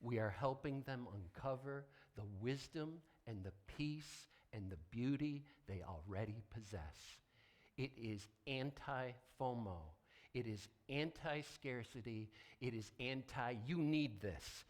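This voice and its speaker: A male pastor delivers a sermon in a deliberate, emphatic way. He uses dramatic pauses and drops to a hushed tone for weight, with his voice at a medium pitch.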